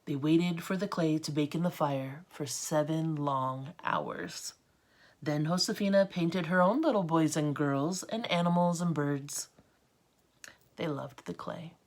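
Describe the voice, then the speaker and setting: A woman's voice: sits low, lively intonation, unhurried. A woman with an American accent reading a storybook aloud as an art teacher, with informal, encouraging asides to children.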